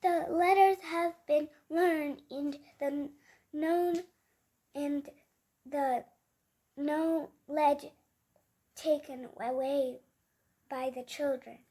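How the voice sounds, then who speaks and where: A female storyteller reading a children's book aloud, expressive and emphatic, word by word with an occasional stumble. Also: high voice, drawn-out words, long pauses.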